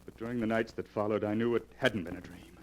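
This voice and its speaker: An actor playing a haunted, fearful young bridegroom confessing intensely, in 1940s radio melodrama style. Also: male voice, on the low side, fairly even intonation, quick pace, darkish tone, close to an everyday voice, poor audio. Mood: haunted, desperate. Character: haunted, fearful young bridegroom recounting his torment to a doctor.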